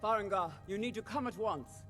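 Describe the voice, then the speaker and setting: A woman playing a commanding female warrior officer in a fantasy video game, brisk and urgent. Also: medium pitch, fairly bright tone, faint music behind, natural voice.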